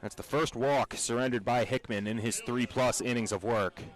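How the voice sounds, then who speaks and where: A man with an American accent calling baseball play-by-play for radio in a measured, descriptive storytelling way. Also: medium pitch, fairly even intonation, poor audio. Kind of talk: radio sports commentary.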